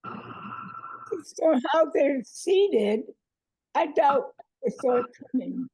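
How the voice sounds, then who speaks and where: A woman reading dramatic parts as a cashier and a medical examiner in a script read, with a raspy, creaky voice. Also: sits high, expressive, drawn-out words, a little mellow, no echo, heavily acted, rough recording. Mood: matter-of-fact.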